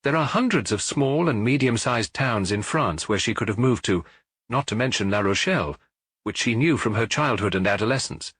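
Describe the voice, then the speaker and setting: A man's voice: mid-range voice, animated, moderate pace, a bit bright, breathy edge, no room sound. A man voicing a middle-aged French detective who muses aloud and jokes dryly, in an audiobook. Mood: musing. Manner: musing, dryly joking.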